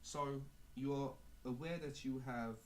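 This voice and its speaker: A man playing a calm male clinic doctor on a prank call, speaking politely and haltingly in an official, clinical tone. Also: mid-range voice, natural voice. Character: calm male clinic doctor giving awkward bad news. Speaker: prank caller. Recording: noisy amateur phone-call recording.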